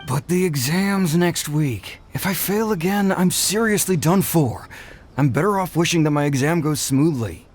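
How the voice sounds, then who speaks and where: A man playing a mild, measured young student in a video game. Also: a little high, animated, a little mellow, slight background noise, lightly acted. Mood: worried. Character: worried, mild-mannered young male student. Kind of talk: English video game voice acting. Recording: game capture with faint background ambience.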